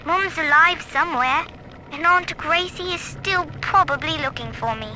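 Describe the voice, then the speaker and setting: A woman's voice: sits high, moderate pace, sharp tone. An actress playing a lonely but defiant young girl, confiding dreamily in her diary in a game. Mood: hopeful, defiant. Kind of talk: secret audio-diary confession. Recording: noisy, hissy vintage audio log.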